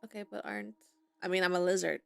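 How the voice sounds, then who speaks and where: A woman's voice: mid-range voice, steady tone, fast talker, creaky. A woman gamer giving casual playthrough commentary and reading dialogue choices aloud as she plays. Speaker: gaming streamer. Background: game music.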